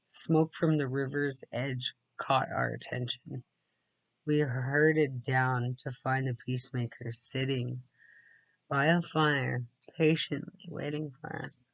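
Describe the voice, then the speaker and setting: A man's voice: mid-range voice, no echo, rough recording. A man reading a storybook aloud, sometimes dropping into casual asides. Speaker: read-aloud narrator.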